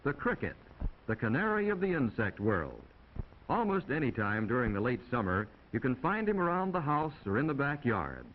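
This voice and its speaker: An American man delivers scripted narration in the style of a 1950s classroom film. His mid-pitched voice rises and falls very expressively as he explains things to young viewers in a folksy, chatty way. The old optical soundtrack sounds muffled, with faint noise underneath. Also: sing-song, moderate pace. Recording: dull, noisy 1950 film soundtrack.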